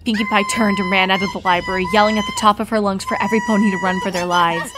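A woman's voice: mid-range voice, fairly even intonation, quick pace. A woman reading a scripted fan fiction story as its narrator. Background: background music.

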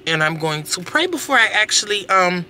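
A young woman in her late teens vlogging casually to a handheld camera, excitable and full of slangy exclamations and squeals. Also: fairly low pitch, normal speed, a little hiss or hum. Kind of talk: casual vlog chat to camera. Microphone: handheld camera close to face.

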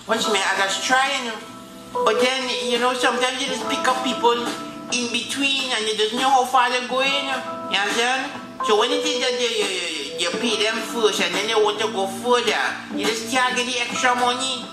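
A man's voice: extremely high pitch, expressive, moderate pace, reverberant, loud music behind the voice, poor audio. A man playing a fussy, scolding Caribbean taxi driver with a Vincentian accent and heavy island slang. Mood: grumpy. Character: grumpy Caribbean male taxi driver answering fan questions.

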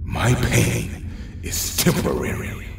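Menacing creaky monster, grandiose horror-drama villain, drenched in echo, distant and noisy. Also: male voice, on the low side, big pitch swings, full character voice. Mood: menacing, slow. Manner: slow, grandiose, ominous pronouncements. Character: menacing unkillable male monster, slow and wrathful. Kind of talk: audio horror drama acting. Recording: noisy, heavily echoing, distant sound.

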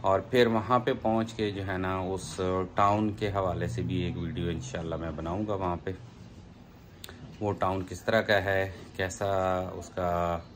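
A man's voice: sits low, little pitch movement, a little slow, slight background noise. A middle-aged man with a Pakistani Urdu accent, chatting unhurriedly to a phone camera for a travel vlog, with many fillers and asides. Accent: Urdu-speaking, Pakistani. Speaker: travel vlogger. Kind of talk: casual travel vlog narration. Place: indoors by a plain wall, then driving on a highway. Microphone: phone camera at arm's length.

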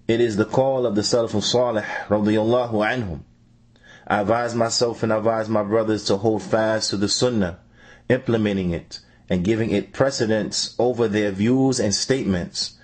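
A man reading out a translated religious lecture in a deliberate, phrase-by-phrase manner, restating points as he goes. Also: lowish voice, darkish tone. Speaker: translator reading a scholar's answers. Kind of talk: measured religious lecture translation, read aloud.

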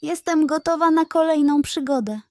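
Woman doing an eager little girl, high-pitched. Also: moderate pace, dry recording, studio quality. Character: eager, brave young girl adventurer, sweet and wide-eyed. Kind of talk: children's storybook character voice.

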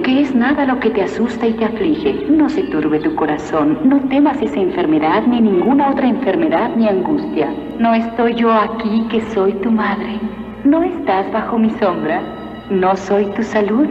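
A woman's voice: mid-range voice, animated, moderate pace, faint music behind, natural voice. Woman doing a tender solemn holy mother apparition in a cartoon, big echo, muffled old sound. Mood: consoling. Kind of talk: solemn dubbed cartoon acting.

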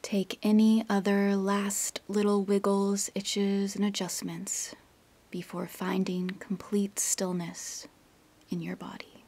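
A woman guides a meditation in a soft, husky near-whisper with a creaky edge. Her delivery is gentle and very even in pitch, with long pauses between instructions. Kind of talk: guided yoga nidra meditation.

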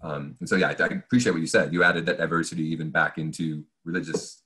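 A man's voice: a bass voice, steady tone, fast talker, pushed and bright. A man who is a professor and podcast guest, talking conversationally in an analytical, discursive way and voicing imagined questions. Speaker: podcast guest, philosophy and religious studies professor.

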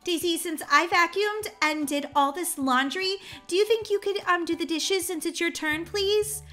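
Long-suffering, flustered mother for game roleplay, played by a woman; very high, bright voice. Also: moderate pace, soft background music. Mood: exasperated. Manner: exaggerated, flustered. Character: overworked, exasperated, long-suffering mother of a family. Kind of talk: children's game roleplay acting.

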